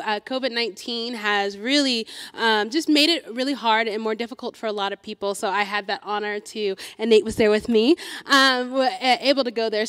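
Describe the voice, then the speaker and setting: A woman with a high voice speaks into a handheld microphone from a forum stage. She halts often, restarting phrases and filling gaps with "um." The sound carries a long reverb from the large hall. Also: a bit bright. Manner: halting, many fillers and restarts. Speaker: school board candidate. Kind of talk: candidate forum statement.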